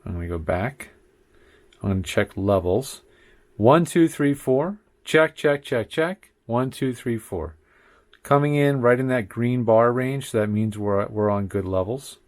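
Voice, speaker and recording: A man's voice: mid-range voice, unhurried, no echo. A man giving a casual tech tutorial in his home studio, talking into a condenser mic on a desk arm and counting off mic checks. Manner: relaxed, chatty, frequent mic checks and counting. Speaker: tech reviewer. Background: faint computer hum.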